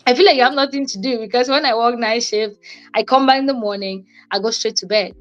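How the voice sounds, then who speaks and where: A woman's voice: fairly high pitch, quick pace, lo-fi sound. Young woman over music. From Cameroon. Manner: conversational, repeats phrases for emphasis. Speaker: co-host and guest speaker on a livestream. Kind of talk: informal motivational talk.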